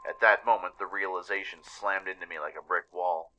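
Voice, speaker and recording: A man narrating a scripted first-person story dramatically, with deliberate emphasis. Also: deep voice, fairly even intonation, sharp tone, lo-fi sound.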